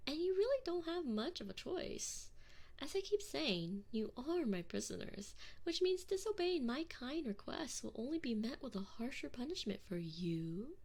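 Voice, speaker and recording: A woman's voice: high-pitched, sing-song, a little mellow, heavily acted. A woman playing a sadistic, mock-polite alien enforcer in an ASMR roleplay, taunting sweetly and possessively into a close binaural microphone. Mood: menacing. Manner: slow, mock-sweet, taunting, often dropping into breathy whispers.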